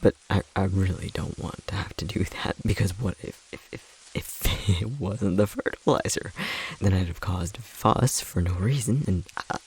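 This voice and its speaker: Man acting a flustered young boyfriend, low gravelly husky voice, slow nervous stammer, anxious, ASMR roleplay. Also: exaggerated voice. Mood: anxious, hesitant.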